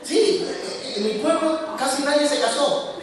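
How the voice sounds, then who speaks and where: A man's voice: very high voice, measured pace, crowd noise. A man preaching a sermon as a pastor, talking directly to his congregation in a conversational way. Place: church hall. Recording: echoey hall from far off.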